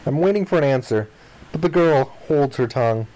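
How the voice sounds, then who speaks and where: A man reading the narration and dialogue of a visual novel aloud in an expressive style. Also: a little high, brisk, rough recording.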